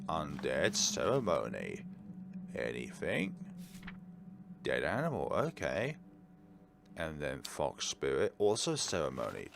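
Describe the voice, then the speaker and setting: A man's voice: low-pitched, creaky, a little hiss or hum. A man hosting a let's play, reading game text aloud dramatically and adding casual asides. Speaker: gaming video host.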